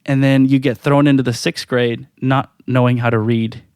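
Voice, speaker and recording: A man's voice: mid-range voice, moderate pace, clear, resonant tone, no room sound, clean studio sound. A man whose first language is Spanish, telling stories in a casual podcast chat, full of fillers and restarts. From Mexico. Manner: animated storytelling with many fillers and restarts. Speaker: podcast guest, music producer.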